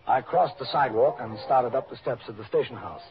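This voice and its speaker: An actor playing a calm, methodical New York police captain in an old radio drama, speaking in a measured, formal way. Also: male voice, medium pitch, over music, close to an everyday voice. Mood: matter-of-fact. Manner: measured, formal, matter-of-fact. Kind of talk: 1950s radio drama acting, procedural narration. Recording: muffled, noisy old radio recording.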